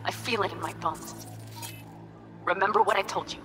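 A woman's voice: medium pitch, moderate pace, music in the background, lightly acted. An actress playing a tough, driven young nomad woman in a video game, speaking in an emphatic, commanding way. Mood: tense, commanding.

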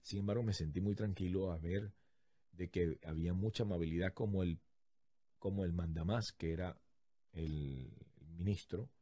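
A man in his thirties with a Venezuelan accent tells a story on his podcast with lively, joking energy. His voice sits low and mellow with a husky edge. The sound is dry and close, from a large studio mic in a small home studio. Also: moderate pace, no echo. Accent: Venezuelan Spanish. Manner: lively, jokey, acts out the people in his story with big gestures. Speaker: podcast host. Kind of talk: animated storytelling monologue. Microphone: large studio condenser mic, headphones.

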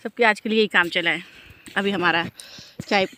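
A woman's voice: medium pitch, fairly even intonation, moderate pace, pushed and bright. A woman, chatting casually as a family vlogger into a handheld phone. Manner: addresses viewers and family directly. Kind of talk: casual vlog chat. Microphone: phone at arm's length. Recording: handheld phone with background noise.

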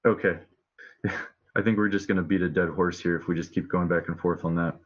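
A man's voice: low-pitched, fairly even intonation, brisk, husky. A man in his thirties, a preacher debating casually and insistently with pointed questions, heard over a lo-fi video call. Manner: insistent, repeats key words, poses pointed questions. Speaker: street preacher, debate guest. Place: room at home, video call. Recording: dull, lo-fi video call audio.

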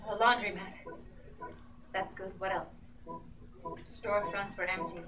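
A young woman playing an anxious mother questioning herself in a halting, agitated live radio-play performance. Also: medium pitch, fairly even intonation, noisy background, lightly acted. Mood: hesitant, probing. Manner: agitated, halting, swinging from murmurs to outbursts. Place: small bookshop with seated audience, indoors. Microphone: audience member's camera from a few metres. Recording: distant live camera recording, music behind. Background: violin accompaniment.

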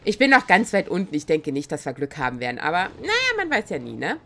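Chatty, excitable woman with a cold. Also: on the low side, fast talker, slight background noise. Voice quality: slightly raspy. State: has a cold. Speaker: game streamer. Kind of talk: casual let's-play commentary.

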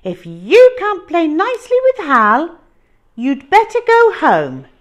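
Woman playing a stern, high-voiced scolding mum. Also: wildly swooping pitch, slow pace, muffled recording, heavily acted. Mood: cross. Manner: scolding, firm. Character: stern, exasperated mother of a young boy. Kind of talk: children's picture-book reading.

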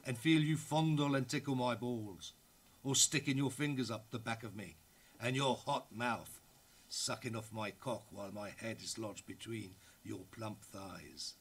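An actor reading aloud as a lustful, teasing writer of love letters from the early 1900s. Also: male voice, medium pitch, unhurried, slight background noise, close to an everyday voice.

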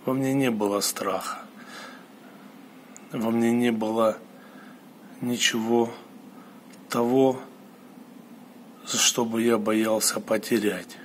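A man giving a personal monologue, recounting an experience solemnly in short, halting phrases. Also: mid-range voice. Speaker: storyteller recounting a mystical experience. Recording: clear steady background noise.

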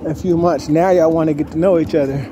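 A man's voice: a little high, steady tone, fast talker. A man giving casual, slangy advice in a walk-and-talk vlog, repeating himself as he goes, recorded on a handheld phone. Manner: colloquial, slangy, repeats himself, acts out quotes. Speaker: vlogger. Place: outdoors on a street while walking dogs. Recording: noisy outdoor phone recording.

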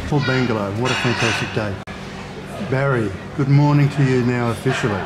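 Man, mid-pitched, deliberate interviewer, pauses between phrases, heavy street noise. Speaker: interviewer, channel host. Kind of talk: interview hosting. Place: outdoors at a street cafe. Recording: very noisy outdoor ambience.

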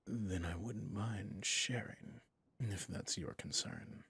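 A man playing a possessive villain boss in an intimate ASMR roleplay, speaking formally and commandingly yet indulgently, in a husky, breathy voice. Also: on the low side, a bit bright, heavily acted. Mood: inviting, teasing. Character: smooth possessive male villain boss, doting on favorite servant.

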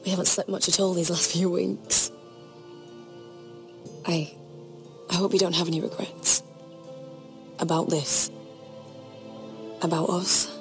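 An anxious young noblewoman in love, from a fantasy game drama. She is soft and intimate with a low pitch, and music plays clearly behind her. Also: little pitch movement, slow, long pauses. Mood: tender, uneasy. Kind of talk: dramatic video game acting.

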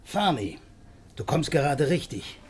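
An actor playing a hardened, withdrawn detective in a crime radio drama, speaking tersely and broodingly. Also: male voice, fairly high pitch, very animated, unhurried, a little hiss or hum. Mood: menacing interrogation. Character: hardened, withdrawn male detective just out of prison.

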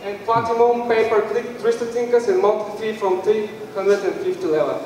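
Echoey high-voiced young Bulgarian man presenting. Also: steady tone, normal speed, darkish tone. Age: young adult. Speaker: startup team presenter. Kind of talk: live pitch presentation. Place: indoor event room with stage area. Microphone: handheld mic, recorded by far camera. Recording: very echoey hall, distant mic, faint noise.

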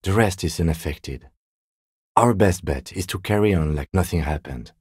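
A man playing a calm, dignified middle-aged police lieutenant in a video game, speaking in a measured, precise, deadpan way. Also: sits low, breathy edge, no echo, studio quality. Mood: measured, matter-of-fact. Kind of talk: video game character dialogue.

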